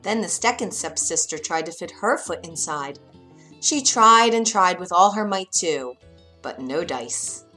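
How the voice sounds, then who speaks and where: A woman narrating a children's bedtime story in an expressive, dramatic way. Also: fairly low pitch, a little slow, faint music behind.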